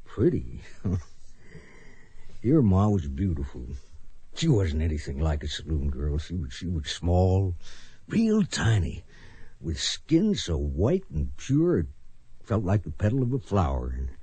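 A gentle frontier farmer and father in a radio western. His voice is husky and mellow, with folksy, plain speech that rises and falls freely. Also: deep voice, wildly swooping pitch, takes it slowly, no echo, full character voice. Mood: tender, wistful. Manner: folksy, hesitant, plain-spoken. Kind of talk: radio western drama acting.

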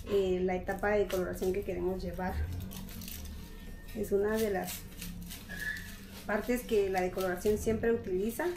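A young woman barber with a Guatemalan Spanish accent explaining each step as she colors a client's hair, with hesitant fillers. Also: mid-range voice. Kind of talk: explaining her work while coloring hair. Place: barbershop, indoors.

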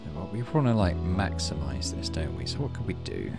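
A man's voice: deep voice, sing-song, a little fast, gravelly. A man giving casual let's play commentary, thinking aloud and reading game text as he goes. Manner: thinks aloud, muttering through choices. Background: game music.

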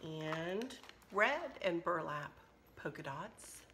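Chatty woman, slightly hoarse. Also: on the low side, animated, slow pace, bright, forceful tone, slight background noise. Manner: chatty, friendly, rambling. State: slightly hoarse, losing her voice. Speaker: craft vlogger. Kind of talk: casual chat and shopping haul. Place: kitchen at home, indoors.

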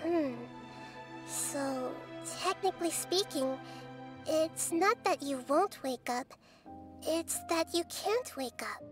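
A woman voicing a small, wise, childlike goddess with a measured, knowing manner in an anime game. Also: high voice, expressive, over music. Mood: calm, certain. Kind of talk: anime video game voice acting.

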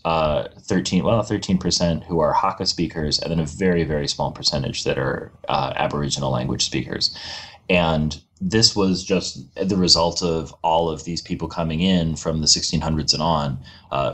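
Male podcast guest, extremely deep voice, measured and explanatory. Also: fairly bright tone. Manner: measured, lecturing, with filler "you know" and "uh". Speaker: guest historian.

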